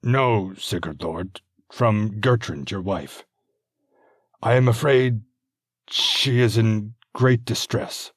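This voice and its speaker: Man doing a timid messenger soldier, hesitant and apologetic, a little higher than the narration, husky. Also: slow pace, no echo, studio quality. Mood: deferential. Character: nervous, deferential male messenger soldier.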